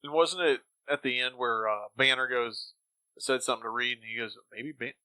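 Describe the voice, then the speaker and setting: A man's voice: mid-range voice, moderate pace, pushed and bright, no echo, poor audio. A man co-hosting a podcast, giving his opinions in casual discussion. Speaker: podcast co-host. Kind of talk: casual critical discussion of old radio shows.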